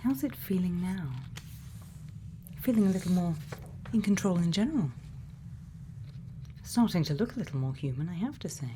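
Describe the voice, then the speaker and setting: A woman playing a quirky, gently probing scientist in a soft-spoken ASMR roleplay, murmuring unhurried questions and sometimes half whispering. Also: lowish voice, animated, slight background noise, lightly acted. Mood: calm, attentive. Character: quirky, gently probing female scientist programming a robot's memories.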